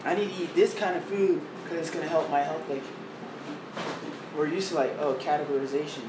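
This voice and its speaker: Rambling young man with a fairly high voice. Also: fairly even intonation, a little fast. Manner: loose, rambling, full of "like" and swearing. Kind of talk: casual chat. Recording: roomy, distant mic with background noise.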